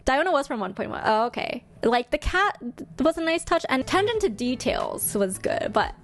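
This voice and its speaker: A woman's voice: high-pitched, wildly swooping pitch, moderate pace. A woman giving casual, chatty reaction commentary as host, with a playful, exclamatory manner. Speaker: host ranking game trailers.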